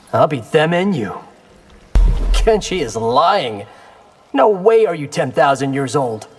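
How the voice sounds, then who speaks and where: An actor playing a cocky, determined young warrior in a video game, casual and wry in delivery. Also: male voice, a little high, very animated, slow, soft background music. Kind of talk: video game character dialogue.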